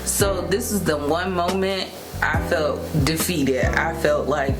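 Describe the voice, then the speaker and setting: A young woman speaks in a lowish voice at a very unhurried pace. She tells her story casually, vlog style, acting out quotes along the way. Loud music plays behind her. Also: poor audio. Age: young adult. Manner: animated retelling with acted-out quotes. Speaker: vlogger, new mother.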